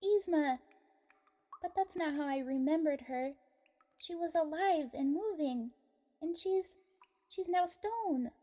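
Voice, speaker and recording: A woman's voice: high voice, takes it slowly, pauses often, mellow. A woman playing an earnest, polite and plucky young farm girl in a fantasy audio drama. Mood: sad, worried.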